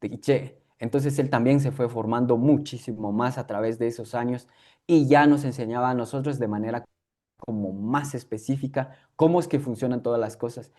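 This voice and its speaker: A man's voice: mid-range voice, normal speed, warm tone. Reflective man with a K'iche' accent. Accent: K'iche'-influenced Guatemalan Spanish. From Guatemala. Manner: reflective, many fillers and restarts. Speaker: guest. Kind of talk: conversational interview.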